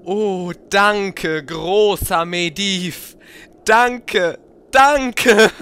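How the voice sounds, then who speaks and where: A man's voice: sits high, very slow, sharp tone, clean tone, full character voice. An actor playing an eager, effusive male adventurer in a fantasy game parody audio play. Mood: fawning. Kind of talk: audio comedy parody acting.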